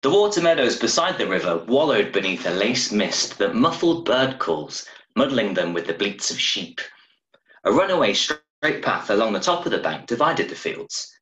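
A man in his thirties reading a short story aloud in a measured, dramatic way, recorded at home over a video call. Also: on the low side, big pitch swings, fairly bright tone. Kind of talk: expressive audiobook-style story narration. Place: small room at home, indoors. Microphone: video call from a desk, wearing earphones. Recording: roomy, echoing home webcam recording.

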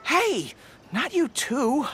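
A woman's voice: sits high, very animated, extremely slow, fairly bright tone, full character voice. A woman voicing an earnest, easily flustered young male dog-eared general in a video game, with a boyish manner. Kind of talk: video game character dialogue. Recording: music under the voice. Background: game background music.

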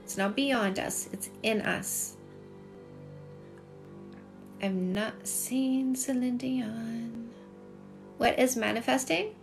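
Higher-voiced Canadian woman with creaky fry. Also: extremely slow, pauses often, over music, poor audio. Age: middle-aged. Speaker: dating coach and livestream host. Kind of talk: casual livestream chat, reading and answering viewer comments. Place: small home room with shelves, indoors. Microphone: phone at arm's length.

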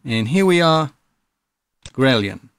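A man in his thirties giving a casual software tutorial, explaining things step by step. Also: medium pitch, animated, takes it slowly, smooth, no echo. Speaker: tutorial presenter.

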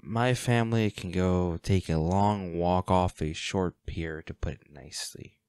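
A man playing a protective, defiant hunter boyfriend in an intimate roleplay audio drama, speaking unhurriedly with sighs and pauses. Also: deep voice, darkish tone, clear, resonant tone, dry recording. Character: protective, defiant boyfriend, a monster hunter, tender but ruthless.

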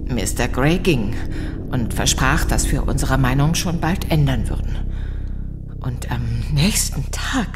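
An actress playing a distraught, blackmailed mother in a horror audio drama, halting and tearful. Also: extremely low pitch, lively intonation, music in the background. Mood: tense, haunted.

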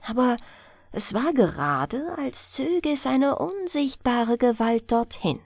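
Slow, lively female storyteller. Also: sits high, creaky, dry recording, clean studio sound. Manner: soothing, expressive storytelling. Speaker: audiobook narrator. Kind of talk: bedtime fairy-tale reading.

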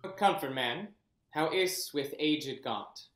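A man's voice: a little high, animated, slow pace, a bit bright. A haughty young king, scornful, roomy echoey sound. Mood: mocking. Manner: cold, then furious. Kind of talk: Shakespeare staged reading. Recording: echoey room.

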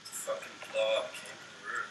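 A man's voice: on the low side, monotone, a little fast, fairly bright tone, a little hiss or hum. A young man opening a morning livestream from a living room, groggy and cheeky. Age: young adult. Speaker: band member on livestream. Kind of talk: casual livestream chat. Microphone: laptop webcam mic.

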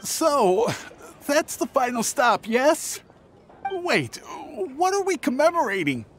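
An actor playing a nervous, shifty young merchant in a fantasy game, hesitant, stammering and evasive. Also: male voice, very high voice, sing-song, slow pace, faint music behind, heavily acted. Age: young adult. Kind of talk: scripted fantasy game voice acting.

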